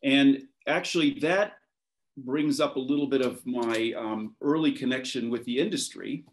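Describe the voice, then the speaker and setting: Male, 50s, American, mid-pitched; relaxed storytelling as a guest on a video-call interview. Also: moderate pace. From born in France to American parents, raised between Europe, Washington and West Africa. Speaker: interview guest, maritime professional. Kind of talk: casual reminiscing in a video conversation. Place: wood-beamed room at home, indoors.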